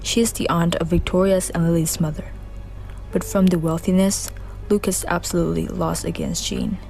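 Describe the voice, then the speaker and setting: Woman narrator, mellow, lowish voice, careful reading, music bed. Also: big pitch swings, normal speed, gravelly, poor audio. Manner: plain, careful reading with non-native grammar slips. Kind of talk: read narration for a student radio drama.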